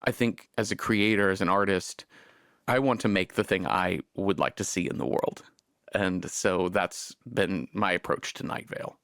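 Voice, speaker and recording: Deep, creaky-voiced man in reflective interview. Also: normal speed, a bit bright, no room sound, clean studio sound. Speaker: podcast writer and interviewee.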